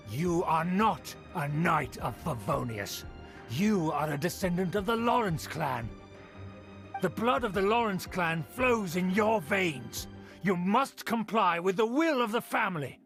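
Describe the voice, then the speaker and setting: An actor playing a haughty older aristocratic uncle who scolds with theatrical outrage in a video game. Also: male voice, high voice, expressive, unhurried, fairly bright tone, faint music behind, heavily acted. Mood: lordly. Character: pompous older aristocratic uncle, blustering and indignant. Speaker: scheming family elder.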